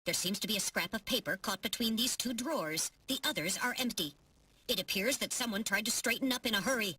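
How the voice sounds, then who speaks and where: A woman's voice: medium pitch, a little fast, pushed and bright, recorded from a distance, a little hiss or hum, lightly acted. A woman voicing a small, prim, literal-minded robot sidekick in a video game, precise and dryly sardonic. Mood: matter-of-fact. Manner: precise, matter-of-fact, dryly teasing.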